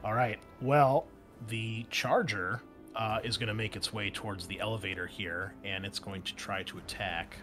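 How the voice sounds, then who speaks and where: A man narrating a tabletop roleplaying game as game master, improvising in a halting, conversational way. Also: lowish voice, steady tone, fairly bright tone. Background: background music.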